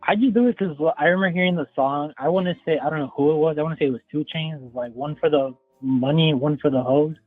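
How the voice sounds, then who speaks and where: A man's voice: fairly high pitch, quick pace, few pauses, no room sound, soft background music. A young man in his twenties calling in by phone, rambling casually and hedging with lots of "like.". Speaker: caller. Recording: tinny phone line.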